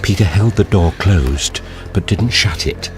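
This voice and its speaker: Male narrator, fairly low voice, animated intonation, storybook reading. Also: measured pace, music in the background.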